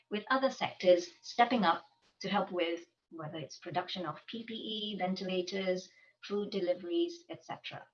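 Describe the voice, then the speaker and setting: A woman reads a formal introduction in a measured way. She sounds distant from the mic, and the audio is dull and muffled. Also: mid-range voice. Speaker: invited speaker. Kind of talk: read self-introduction.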